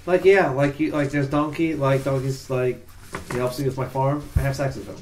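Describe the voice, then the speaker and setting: A man's voice: mid-range voice, steady tone, moderate pace, a little mellow. A man playing a tabletop game, rambling in casual chat with lots of fillers. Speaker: player.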